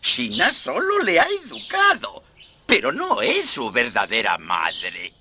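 An actor playing a courteous, effusive old professor and explorer in a theatrical cartoon style. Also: male voice, medium pitch, wildly swooping pitch, slow, background noise. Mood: effusive, pleading. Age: older. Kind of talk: animated cartoon dub.

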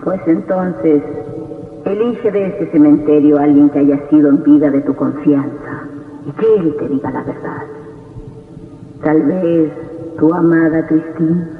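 Radio horror role: Death, solemn and cryptic, unhurried delivery, muffled distant recording. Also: male voice, a little high, steady tone. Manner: slow, solemn, pronouncing. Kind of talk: radio horror drama acting. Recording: echoing hall, muffled, faintly noisy old radio.

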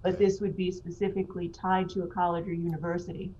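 A woman on a video call calmly explaining procedure to a planning board at a public meeting. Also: on the low side, a little hiss or hum. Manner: measured, explanatory. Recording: dull lo-fi video call audio.

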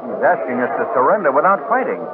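An actor playing a cool, wry gentleman adventurer in a 1940s radio drama, with a dry, calm manner. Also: mid-range voice, fast talker, heavy background noise, close to an everyday voice. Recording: tinny 1940s radio recording.

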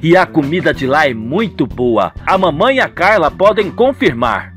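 A man's voice: on the high side, big pitch swings, moderate pace, a bit bright, soft background music. A man playing an eager, easygoing young son in a radio soap, friendly and insistent. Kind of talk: radio soap opera acting.

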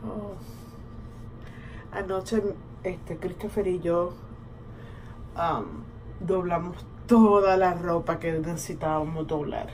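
A woman's voice: fairly low pitch, a little slow, pauses often. A woman, chatting casually like a bilingual vlogger while going through a routine. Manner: relaxed, switches between Spanish and English. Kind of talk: casual bilingual vlog, narrating a skincare routine. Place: small indoor bathroom. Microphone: camera or phone at about arm's length. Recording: clearly noisy home bathroom recording.

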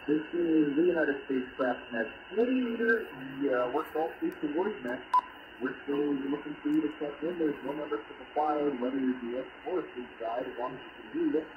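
A man's voice: on the high side, brisk, phone-quality sound, noisy background. A man talking on air as an amateur radio operator, heard through a shortwave radio. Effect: shortwave radio transmission.

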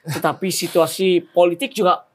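A middle-aged man bantering casually as a comedy show co-host, chipping in with comments and quick repeated agreements. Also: high voice, level tone, slight background noise. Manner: interjecting, repeats short agreements. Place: TV studio set built as a food stall.

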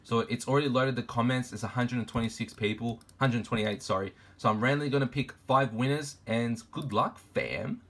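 A man of twenty-two, vlogging casually to camera in his bedroom, laid-back and slangy, his voice deep from just waking up. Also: fairly quick, hardly any pauses, fairly bright tone. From Argentina, raised in Australia. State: deep morning voice, just woke up. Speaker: fashion YouTuber. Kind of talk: casual vlog Q&A. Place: bedroom with shoe shelves, indoors.

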